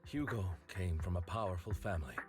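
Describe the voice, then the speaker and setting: Man voicing a very deep, solemn, principled agent. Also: close to an everyday voice. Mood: solemn, troubled. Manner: grave, formal, deliberate. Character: stern, principled male agent, formal and solemn. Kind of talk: video game voice acting. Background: game music.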